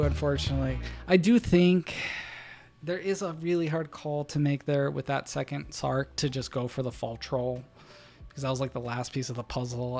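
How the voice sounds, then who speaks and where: A man streaming a card game, thinking through his plays out loud to his chat and breaking into loud excited outbursts. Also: mid-range voice. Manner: muses over choices, bursts into loud excited laughs. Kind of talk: thinking aloud while playing, talking to chat.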